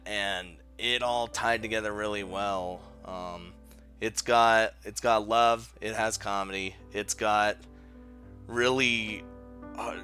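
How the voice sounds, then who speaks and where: A man's voice: lowish voice, bright, forceful tone. A man hosting a casual countdown video, rambling informally as he talks. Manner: rambling, informal, chuckles often. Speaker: video host. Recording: music playing behind the voice. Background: background music.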